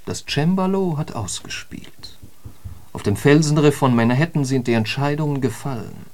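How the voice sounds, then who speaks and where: An actor as an eloquent intellectual holds forth in a literary radio play. His middle-pitched male voice is emphatic and declamatory, with the bite of a polemicist. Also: normal speed, a little mellow, lightly acted. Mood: fervent. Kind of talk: literary radio drama monologue.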